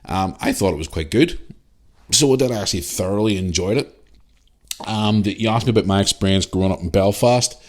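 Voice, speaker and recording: A man's voice: on the low side, a little fast. A man in his forties with a Northern Irish accent, hosting a podcast and answering a listener's email in a rambling, chatty way, full of fillers and restarts. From Northern Ireland. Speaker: podcast host. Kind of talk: casual solo podcast, reading listener email.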